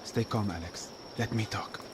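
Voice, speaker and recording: An actor playing a wary, protective shepherd guide in a video game, with a wry, urgent, low-key way of instructing. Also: male voice, normal speed, background noise, lightly acted. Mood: tense.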